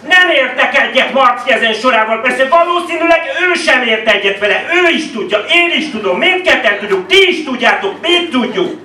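A man's voice: very high-pitched, bright, forceful tone. A man from Hungary performing slam poetry live in a declamatory, rhetorical style with repeated phrases. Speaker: slam poet. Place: indoor live poetry venue. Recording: roomy echo, distant microphone.

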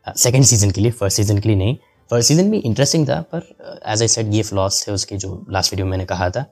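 A man's voice: on the low side, a little mellow. A young man casually reviewing anime in a voice-over, chatty and full of fillers, switching into English now and then. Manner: chatty, mixes English into Hindi, frequent fillers. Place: voice-over over gameplay footage.

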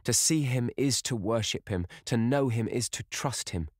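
A man's voice: mid-range voice, moderate pace, no echo, studio quality, pitched above the performer's own voice, close to an everyday voice. A man voicing a dreamy, playful young woman in love, as an audiobook character. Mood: joyful, tender.

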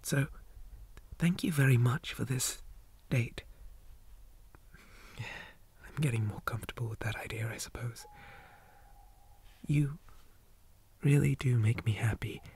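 Guy doing a shy undead boy for asmr, super breathy n soft, slow, stammery and very polite. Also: medium pitch, heavily acted. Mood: shy, tender. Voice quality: soft, breathy, often whispered. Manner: flustered, hesitant, stammering, old-fashioned politeness. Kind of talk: ASMR roleplay.

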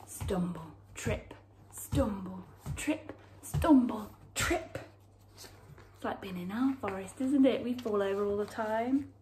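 A woman in her thirties reading a picture book aloud to young children in an expressive, theatrical way, drawing out words and dropping into hushed tones. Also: medium pitch, slow pace, slight background noise. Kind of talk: animated children's storytelling. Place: small room at home, indoors.

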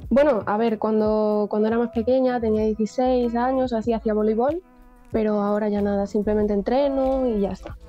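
A woman's voice: a little high, fairly even intonation, fairly quick, highs cut off, soft background music. A nineteen-year-old woman giving shy, giggly answers as a dating show contestant on a video call. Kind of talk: casual chat. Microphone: laptop mic on video call.

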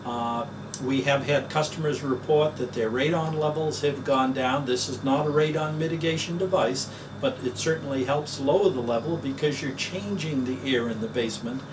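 An older man pitching his own product in a plain, folksy way with frequent "uh"s, demonstrating it indoors. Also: moderate pace. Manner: plain folksy sales talk with filler "uh"s. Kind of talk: promotional demonstration. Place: office, then a basement demo wall indoors. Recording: distant mic with audible fan hum.

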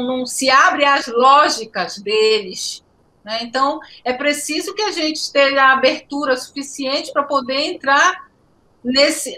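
A high, bright woman's voice from Pará, Brazil, a professor in her forties talking from home over a laptop webcam on a video call. She is reflective and explanatory, doubling words as she works through an idea. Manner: reflective, explanatory, repeats words while searching. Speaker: education professor, guest. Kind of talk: academic interview answers. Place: home room indoors.

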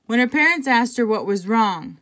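A woman reading a picture book aloud to children, conversationally, pausing for casual asides and check-in questions. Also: fairly high pitch, animated, a bit bright. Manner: conversational, pointing things out and asking check-in questions. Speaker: intervention specialist reading to children.

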